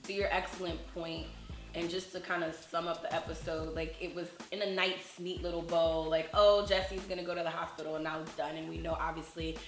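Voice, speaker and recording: A woman's voice: sits low, flat delivery, fairly quick, reverberant, faint music behind. A woman co-hosting a casual talk show, speaking in a reflective, encouraging way. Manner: reflective, encouraging, self-care advice tone. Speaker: podcast co-host. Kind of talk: casual chat.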